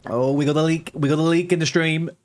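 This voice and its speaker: A man in his thirties with a softened London accent, chatting casually with viewers while streaming a game. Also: fairly high pitch, fairly even intonation, quick pace. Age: 30s. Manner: chatty and rambling, answers viewers' chat aloud. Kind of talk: casual live-stream chat.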